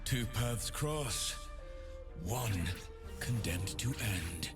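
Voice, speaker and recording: An actor playing a solemn, grim demon-hunting swordsman in a game, speaking in measured, deliberate lines. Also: on the low side, lively intonation, music in the background. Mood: solemn, menacing. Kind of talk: scripted game character lines.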